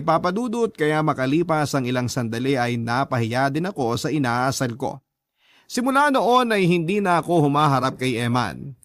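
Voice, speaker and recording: An actor playing a confiding letter-writer who narrates a love story in first person for a radio drama, emotive and confessional. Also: male voice, on the high side, a bit bright, no room sound.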